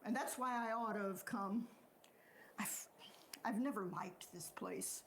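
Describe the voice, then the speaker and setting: A woman's voice: mid-range voice, measured pace, fairly bright tone, a little hiss or hum. A woman playing a regretful, plain-spoken country farm wife in a stage drama, with a hesitant, folksy way of talking. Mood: wistful, regretful. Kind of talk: stage drama dialogue acting.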